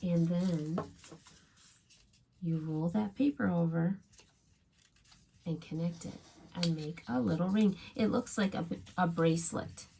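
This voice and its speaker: A woman's voice: lowish voice, slow pace, gravelly, long pauses, mellow, slight background noise, rough recording. A woman teaching a craft step by step to young children, speaking as a preschool teacher in simple, encouraging phrases.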